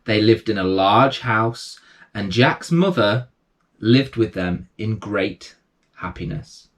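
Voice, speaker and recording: A man hosting and reading children's bedtime stories in a gentle, reassuring manner. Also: on the low side, lively intonation, slow pace. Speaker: bedtime story reader and host. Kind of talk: storytelling read aloud for children.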